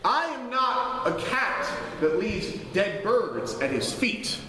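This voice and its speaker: A man with a high, swooping voice delivers a grandiose speech as a defiant, warlike vampire leader. He pauses dramatically and stresses his words like a stage orator. The recording is distant and echoey, from a live gathering. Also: sing-song, crowd noise. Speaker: live role-play performer giving an in-character speech. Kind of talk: theatrical oration to a gathering. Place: indoor room at a live role-play gathering. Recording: roomy, distant, noisy live-event recording.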